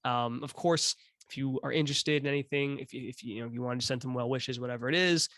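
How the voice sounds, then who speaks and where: A man's voice: medium pitch, steady tone, fast, fairly bright tone, no room sound. Dry-voiced man rambling as podcast host. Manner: rambling, joking, self-deprecating, swears freely. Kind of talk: casual podcast intro.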